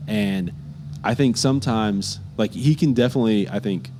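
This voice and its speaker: A man's voice: fairly low pitch, moderate pace, dark tone. A man hosting a podcast interview, talking in a loose, chatty way with lots of fillers. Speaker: podcast host. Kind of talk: casual interview chat.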